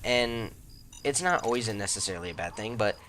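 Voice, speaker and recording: A man's voice: on the low side, expressive, brisk, heavy background noise. A young man hosting a let's play, chatting casually and rambling with self-deprecating jokes while he plays. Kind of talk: casual gameplay commentary.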